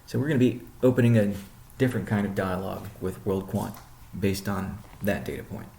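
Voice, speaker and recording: Low, gravelly man in a roomy space. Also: brisk, dark tone, slight background noise. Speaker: company CEO hosting a weekly update call. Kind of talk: informal business conference call briefing. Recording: roomy, echoing sound.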